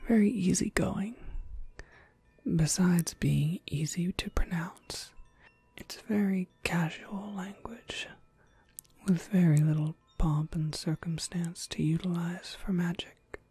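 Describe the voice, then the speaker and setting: Slow whispering witch played by a woman. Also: lowish voice, steady tone, no room sound, heavily acted. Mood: helpful, explaining. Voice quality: soft, breathy whisper. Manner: unhurried, polite, softly reassuring. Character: gentle, attentive witch shopkeeper crafting custom spells. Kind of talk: whispered ASMR roleplay.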